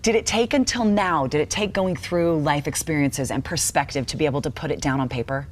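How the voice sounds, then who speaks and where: A woman with a notably low voice hosts a daytime TV show. She speaks in a measured, sympathetic way, with faint noise behind her. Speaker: TV show host, interviewer. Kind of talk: daytime TV presenting and interview.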